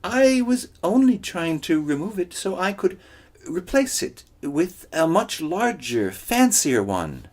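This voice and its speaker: A vain, boastful coyote trickster from a storybook in a squealing falsetto far above a normal speaking voice. He wheedles and prattles, then bursts into loud, shrill cries. The pitch swings wildly. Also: big pitch swings, takes it slowly, full character voice. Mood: wheedling. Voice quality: high, squealing, exaggerated falsetto.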